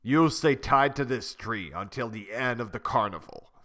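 A man voicing a stern old elephant who scolds in a measured way. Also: medium pitch, animated, fairly bright tone, lightly acted. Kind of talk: storybook reading.

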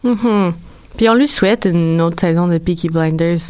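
A woman's voice: fairly low pitch, normal speed, a little mellow, clean tone. A woman co-hosting a radio show, teasing with playful interjections. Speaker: radio co-host. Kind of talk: casual radio banter. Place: radio studio.

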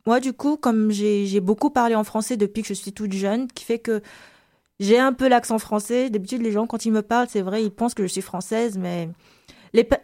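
A woman's voice: a little high, fairly even intonation, moderate pace, dark tone. Hesitant woman with a light Malagasy French accent. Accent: slight Malagasy French. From Madagascar. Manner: hesitant, many repeated words and fillers. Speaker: guest. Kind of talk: casual interview answers.

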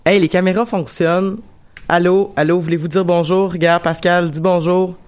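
A woman's voice: lowish voice, fairly even intonation, moderate pace, darkish tone. Joking Québécoise woman hosting a chat show. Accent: Quebec French. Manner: joking, rambling with many restarts. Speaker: host. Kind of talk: casual radio show chat.

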